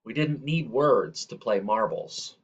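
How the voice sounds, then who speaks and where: A man reading a children's picture book aloud in a measured, deliberate way. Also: mid-range voice, expressive, husky. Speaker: reader. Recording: dull, lo-fi sound.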